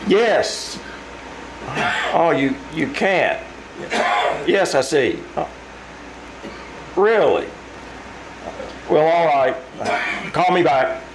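Urgent office colleague, acted by a man, higher voice. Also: expressive, extremely slow, long pauses, noisy background, heavily acted. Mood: urgent, surprised. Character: concerned, insistent male office colleague. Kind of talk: old-time radio drama acting.

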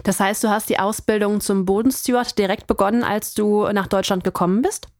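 A woman's voice: medium pitch, fairly even intonation, brisk, a little mellow, dry recording, studio quality. A woman hosting a careers podcast, asking curious, guiding questions. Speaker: podcast host, interviewer. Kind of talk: podcast conversation.

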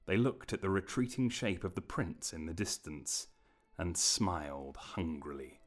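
Deep-voiced man narrating a fantasy tale. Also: lively intonation, a little slow. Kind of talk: scripted fantasy story narration.

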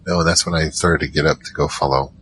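Naive young man narrating his own adventure male actor, bottom-register yet bright, halting and informal, audio drama. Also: rumbling low, steady tone, slight background noise, poor audio. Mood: recounting, casual.

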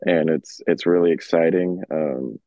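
Low-voiced laid-back man, dry, slightly dull sound. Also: measured pace, dark tone, no room sound. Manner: laid-back, hesitant with fillers. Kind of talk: casual chat.

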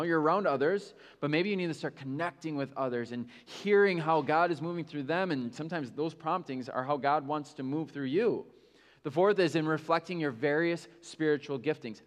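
Guy pastor giving a sermon, chatty and honest, long echo in the room. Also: fairly high pitch. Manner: candid, conversational preaching with self-mocking asides.

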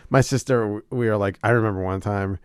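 A man's voice: lowish voice, quick pace, clear, resonant tone, no echo, clean studio sound. A man hosting a casual chat about movies who laughs often, swears freely and retells scenes from films. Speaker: podcast host.

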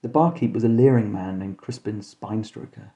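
A man with a very dark, mellow and fairly low voice narrates a spoof detective horror story. He reads as a storyteller, deadpan yet mock-dramatic. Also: a little fast. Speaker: podcast host and storyteller. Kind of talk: scripted comic horror narration in mock-detective first person.

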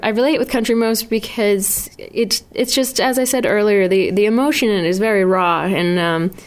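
A woman's voice: mid-range voice, moderate pace. A young woman singer with a New England accent, chatting in a radio studio interview, rambling with frequent laughs and restarts. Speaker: singer-songwriter guest.

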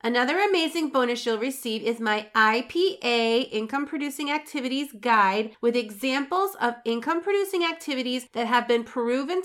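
Female podcast host, high and bright, upbeat scripted monologue with an encouraging sales pitch. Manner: peppy, encouraging, promotional.

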